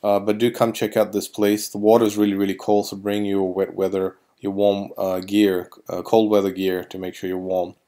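A man giving informal voice-over commentary as a tour guide, haltingly, often repeating and correcting himself. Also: on the low side, flat delivery, mellow.

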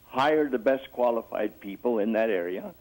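A man's voice: medium pitch, animated, moderate pace, a little mellow. A man calling in to a live show, blunt and complaining. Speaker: caller. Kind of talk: phone-in call. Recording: phone line.

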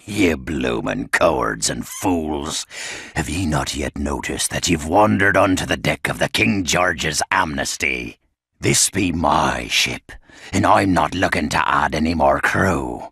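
An actor playing a menacing, mocking pirate captain with a gravelly growl and a stage pirate accent, taunting and theatrical, in a video game. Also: male voice, extremely low pitch, expressive, unhurried, no echo, clean studio sound, full character voice. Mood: furious. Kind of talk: video game cutscene acting. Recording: loud music underneath.

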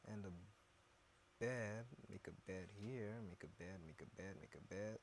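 Slow, low-voiced man playing a game. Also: a little hiss or hum. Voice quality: deep voice. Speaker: gaming video host. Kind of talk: casual gameplay commentary.

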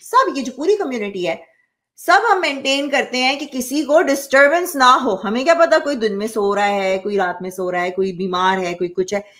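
A woman's voice: fairly high pitch, normal speed, fairly bright tone. A woman, giving blunt, emphatic, opinionated commentary to camera in a casual way, mixing two languages. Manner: emphatic, blunt, coarse-spoken. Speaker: vlogger. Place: small home office indoors.

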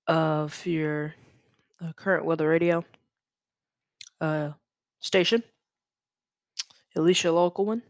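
Slow-paced young man giving a casual tutorial. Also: fairly high pitch, smooth, no echo, studio quality. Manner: halting, informal, thinking aloud. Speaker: tutorial presenter. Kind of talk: casual screen-recorded software tutorial.

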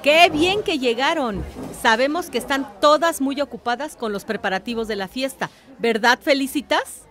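A woman's voice: sits high, expressive, normal speed, fairly bright tone. An actress with a Mexican accent playing a welcoming village woman leading a meeting in a radio drama. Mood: welcoming, inquiring.

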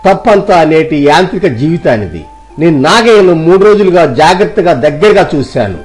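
A kindly, philosophising village uncle of middle age, played by a man, from a moral story drama. His voice sits rather high for a man, and he reassures and moralizes earnestly. Soft music plays behind him. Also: sing-song, a little fast. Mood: earnest, moralizing.

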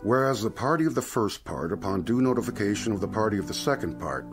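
A man plays a silky, devilish dealmaker in a radio play. His lowish voice swoops expressively through formal, unctuous phrases, with music strong behind him. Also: lively intonation, quick pace, lightly acted. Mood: silky, explaining. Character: suave, devilish male dealmaker, slyly courteous. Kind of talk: radio drama acting.

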